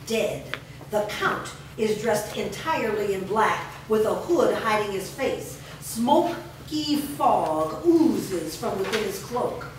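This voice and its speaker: A woman reading stage directions as scripted narration. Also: mid-range voice. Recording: noisy, very echoey hall from far off.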